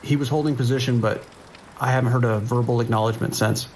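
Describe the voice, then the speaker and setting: An actor playing a tense, dutiful police dispatcher in an audio drama, with clipped, procedural radio speech. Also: male voice, medium pitch, steady tone, fast talker, slight background noise, close to an everyday voice, low-quality recording. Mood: tense, worried.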